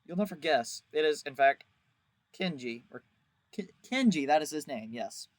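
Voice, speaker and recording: A man's voice: a little high, expressive, moderate pace, no echo. A man giving a casual, rambling video review as host, with a crude, jokey, exaggerated way of talking.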